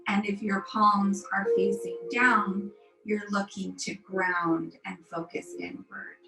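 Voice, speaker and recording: A woman teaching yoga, leading a guided meditation in a soothing, unhurried, gently instructive manner. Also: mid-range voice, flat delivery, vocal fry. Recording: roomy echo, music behind. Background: music.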